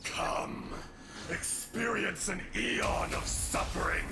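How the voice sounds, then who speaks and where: A woman voicing a wrathful, imperious spirit, a fantasy video game boss with a gravelly voice, taunting foes. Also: medium pitch, takes it slowly. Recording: very loud game music behind.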